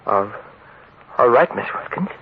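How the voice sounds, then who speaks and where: A man's voice: medium pitch, animated, unhurried, gravelly. An actor playing an anxious, pleading man in a 1940s radio play, stammering and coaxing as he gets rattled. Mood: disbelieving, pleading. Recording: noisy, muffled old radio recording.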